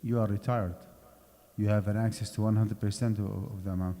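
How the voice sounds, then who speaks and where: A man's voice: on the low side, steady tone, a little fast, warm tone. Man lecturing in a reverberant mosque hall. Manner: conversational, explanatory, checks in with "okay". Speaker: Islamic finance lecturer.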